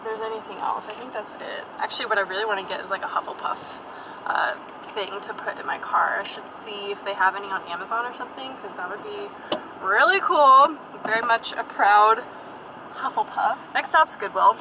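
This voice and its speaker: A woman's voice: mid-range voice, brisk. A young woman vlogging from inside her car, rambling casually through her errands with lots of fillers and asides. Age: young adult. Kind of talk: casual vlog chat while driving. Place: inside a parked and moving car. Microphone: camera on the dashboard. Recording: car interior with road and engine noise.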